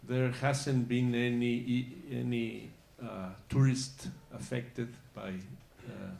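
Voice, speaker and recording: Halting man with a gravelly voice. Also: medium pitch, flat delivery, a little mellow, a little hiss or hum. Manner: halting, many uh fillers and restarts. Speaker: panelist. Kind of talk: conference panel answer. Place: conference hall. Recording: roomy conference hall.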